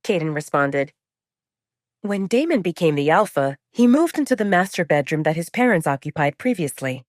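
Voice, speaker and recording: A woman narrates fiction in a dramatic, scripted style. Her voice sits slightly low for a woman and moves with animated intonation, recorded close and dry. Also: normal speed, no echo. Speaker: audiobook narrator.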